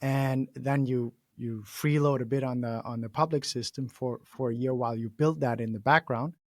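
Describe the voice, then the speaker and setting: A man from Denmark with a Danish accent, hosting an interview in an animated, chatty way with frequent laughs. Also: mid-range voice, moderate pace, no room sound, studio quality. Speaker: podcast host. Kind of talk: casual interview conversation.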